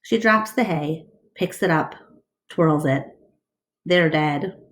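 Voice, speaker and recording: A woman reading a children's book aloud in an expressive, deliberate storytelling style. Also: low-pitched.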